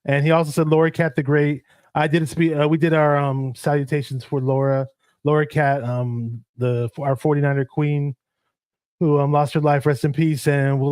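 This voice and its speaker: A man whose first language is Arabic, co-hosting a casual sports livestream and shouting out viewers in the chat. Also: mid-range voice, dry recording. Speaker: podcast co-host.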